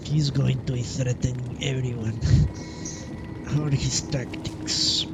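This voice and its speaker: Slow-talking man over loud game noise. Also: medium pitch, steady tone. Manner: thinking aloud, muttering, casually profane. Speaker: livestreamer playing a video game. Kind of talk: casual live gameplay commentary.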